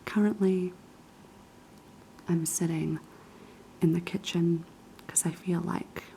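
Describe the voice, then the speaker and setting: Young NZ woman, raspy post-sickness whisper, slow ASMR delivery, dark mellow tone. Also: on the low side, fairly even intonation, a little hiss or hum, low-quality recording. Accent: New Zealand. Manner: soft, unhurried whispering with many ums. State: hoarse after being sick. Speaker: ASMR creator. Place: kitchen of a quiet flat.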